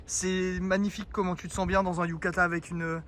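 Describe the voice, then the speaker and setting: Bright-voiced, high young man streaming outdoors. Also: level tone, a little fast, slight background noise. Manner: joking, teasing, talks to chat. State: a bit out of breath. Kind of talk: casual IRL stream chat. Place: outdoors in a town street.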